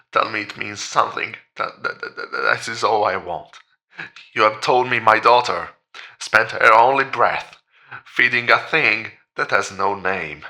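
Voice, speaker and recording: An actor playing a grieving father in a horror audio drama, desperately clinging to faith, pleading in halting, insistent repetitions. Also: lowish voice, creaky, sharp tone, exaggerated voice. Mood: anguished, pleading. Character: grieving father, desperate believer in a promised resurrection.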